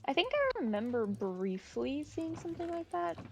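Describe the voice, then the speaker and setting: A woman's voice: sits high, huge pitch swings, normal speed, gravelly, a little mellow. A woman co-streamer chatting casually, making wry, teasing remarks.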